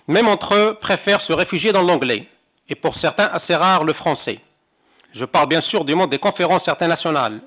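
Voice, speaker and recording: A man's voice: a little high, a bit bright. An older man from Morocco, a conference interpreter, reading a prepared talk at a seminar with wry, rhetorical asides. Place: seminar hall.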